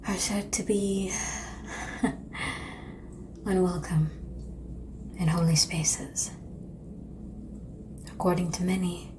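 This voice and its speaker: A woman playing a seductive, world-weary vampire in an ASMR roleplay, calm and teasing, with a breathy whisper and languid, formal phrasing. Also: mid-range voice, noisy background. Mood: wry, musing.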